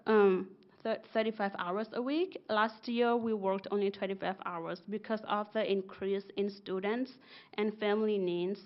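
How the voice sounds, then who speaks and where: A young woman whose first language is Karen, giving a soft-spoken, halting presentation as a bilingual school success coach. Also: medium pitch, highs cut off. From Burma. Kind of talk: prepared presentation.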